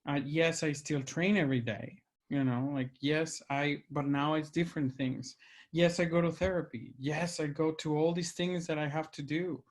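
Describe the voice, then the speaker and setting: A man's voice: on the high side, fairly even intonation, moderate pace. A man with a Mexican accent, hosting a conversation, speaking earnestly and searching for his words. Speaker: host. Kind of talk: reflective conversation.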